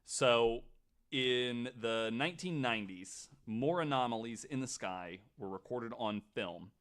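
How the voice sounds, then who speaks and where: A man co-hosting a podcast, telling a story casually in a skeptical, wry, somewhat halting way. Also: mid-range voice, lively intonation, fairly bright tone, clear, resonant tone. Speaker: podcast co-host.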